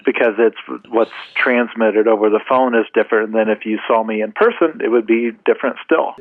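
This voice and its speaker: A man's voice: lowish voice, a little fast. Man talking over a muffled phone line. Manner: deadpan, mock-serious. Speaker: podcast co-host. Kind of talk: comedic podcast banter. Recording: muffled phone-call recording.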